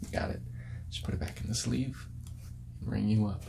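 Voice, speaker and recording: A man soft-speaking and whispering in an ASMR role-play as a laid-back, friendly record store clerk, repeating phrases in casual sales patter. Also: lowish voice, steady tone, normal speed, vocal fry, noisy background.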